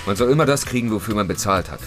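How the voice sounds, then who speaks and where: A man's voice: fairly low pitch, expressive, rapid-fire, faint music behind, lightly acted. A man acting a sleazy, callous client in his forties in a German radio drama, patronizing and coldly threatening. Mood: indignant, crude. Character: sleazy, menacing forty-two-year-old male client, cynical and callous.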